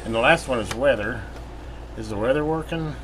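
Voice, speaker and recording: A man's voice: medium pitch, brisk. An American man giving casual, rambling, plain-spoken commentary as he demonstrates electronics repair in a metal workshop building. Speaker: radio repair video host. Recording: steady background hum and radio noise.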